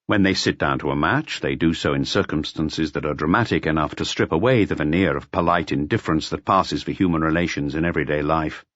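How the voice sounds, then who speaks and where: A man narrating a literary audiobook in a measured, expressive storytelling manner. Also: rumbling low, no room sound, muffled recording. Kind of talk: scripted literary narration of a detective novel.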